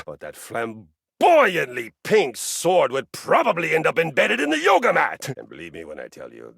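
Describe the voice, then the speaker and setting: A pompous Norwegian warrior-machine. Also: male voice, fairly bright tone, no room sound, studio quality, full character voice. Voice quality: gravelly, husky, grandiose. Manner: pompous, theatrical swings in pitch. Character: boastful Norse-style male exercise machine, honor-obsessed warrior. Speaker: dating game character. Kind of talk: video game voice acting.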